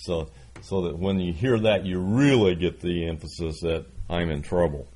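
A man's voice: deep voice, normal speed, a little mellow. A man in his sixties preaching a sermon, reading scripture and adding folksy, self-deprecating asides. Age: 60s.

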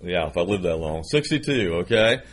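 A man's voice: low-pitched, lively intonation, a little fast. A man in his sixties preaching a sermon, reading scripture and adding folksy, self-deprecating asides. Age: 60s.

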